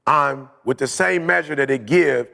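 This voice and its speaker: A man with a gravelly, creaky voice talks loosely and jokes with his co-hosts, sometimes turning to preacher-like lines about faith. The room rings with a long reverb tail. The talk is relaxed and conversational. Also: medium pitch, moderate pace. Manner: joking, teasing, slips into preachy talk. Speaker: podcast co-host. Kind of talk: casual chat.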